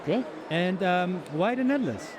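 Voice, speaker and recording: Man, interviewer, high voice, short friendly questions, noisy background. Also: lively intonation, moderate pace. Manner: friendly, brief questions with ums. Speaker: street interviewer. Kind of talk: casual street interview.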